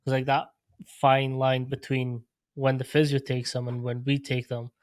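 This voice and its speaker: A man hosting a casual podcast interview, relaxed and loose, with lots of "like" and "sort of". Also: mid-range voice, moderate pace, no echo. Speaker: podcast host.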